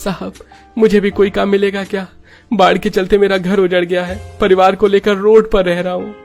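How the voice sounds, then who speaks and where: Man acting a pleading poor village father, raised pitch. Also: level tone, normal speed, a little mellow, soft background music. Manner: pleading, emotional. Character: poor young father Manohar, gentle, desperate, pleading. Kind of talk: melodramatic Hindi moral-story voice acting.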